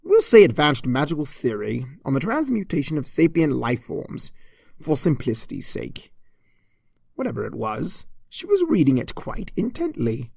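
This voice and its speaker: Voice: mid-range voice, wildly swooping pitch, moderate pace, gravelly, darkish tone, breathy edge, dry recording, clean studio sound. Theatrical, swooping storybook narrator. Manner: theatrical, mock-pompous, arch. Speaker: fan fiction reader. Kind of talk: scripted comedic story narration.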